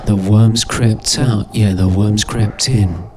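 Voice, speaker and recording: A man performing a spoken-word monologue in a deliberate, halting way, repeating phrases, with a husky voice. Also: on the low side, fairly even intonation, noisy background. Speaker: performance artist and narrator.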